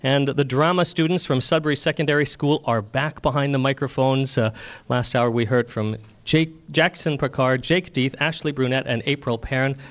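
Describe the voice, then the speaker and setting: A man's voice: medium pitch, moderate pace, fairly bright tone, muffled recording. A man presenting a live local radio show as host.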